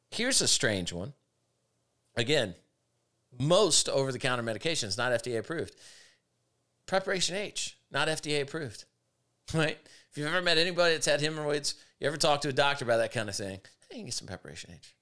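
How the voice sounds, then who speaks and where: A man with a raspy voice, hosting a podcast with opinionated, emphatic casual talk. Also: mid-range voice, sharp tone. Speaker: podcast host.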